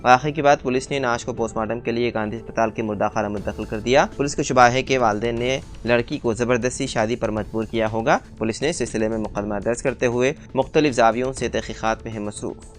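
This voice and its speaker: Male news narrator, mid-range pitch, formal diction, reading scripted bulletin copy. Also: steady tone, quick pace, soft background music. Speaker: news reader.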